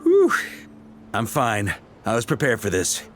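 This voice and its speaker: An actor playing a composed, scholarly young male knight in a game, calmly explaining things. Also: medium pitch, a little slow, slight background noise. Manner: calm, articulate explainer. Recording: clear game music behind.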